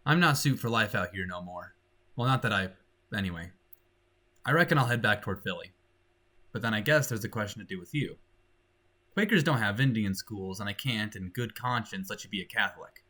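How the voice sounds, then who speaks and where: A man acts out a frontiersman in an audiobook tale, plainspoken and weary. He talks in a folksy, hesitant, rustic way, earnest throughout. The voice has a bright edge. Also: on the low side, expressive, a little fast, voice pushed down. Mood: resigned, earnest.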